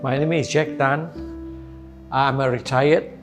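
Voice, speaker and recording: A man, introducing himself in short halting phrases. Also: medium pitch, steady tone. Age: 70s. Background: guitar music.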